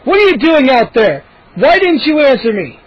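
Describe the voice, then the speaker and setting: A man's voice: very high voice, big pitch swings, takes it slowly, dry recording, lo-fi sound, voice pushed up, heavily acted. A man playing an exasperated storybook dad. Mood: agitated. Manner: impatient, scolding. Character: exasperated grown father, stern then relenting.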